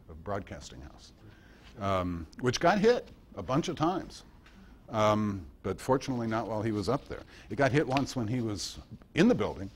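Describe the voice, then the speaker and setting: Guy with a deep voice doing an informal history talk at a club meeting, kinda halting, bit of background noise. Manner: halting, with pauses and quoted passages read aloud. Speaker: guest speaker at a club meeting. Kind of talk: informal lecture on radio history. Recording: noisy amateur recording of a meeting.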